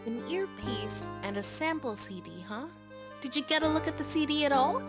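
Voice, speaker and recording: Woman acting a squeaky-high, curious teenage girl sidekick. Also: lively intonation, normal speed, music in the background. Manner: inquisitive, playful. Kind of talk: live fan dub of a video game.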